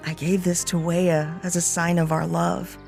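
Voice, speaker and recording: A woman acts out a gentle, sincere young woman in an audiobook drama. Her voice sits a little low, her delivery is tender and earnest, and quiet music runs beneath it. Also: flat delivery, moderate pace, gravelly. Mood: moved. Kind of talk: audiobook character dialogue. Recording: music under the voice.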